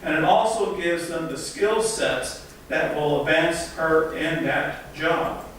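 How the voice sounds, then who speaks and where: A man giving a formal conference presentation, slow and deliberate, recorded in an echoey hall from a distant mic. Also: a little high, a bit bright, slight background noise. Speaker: conference speaker.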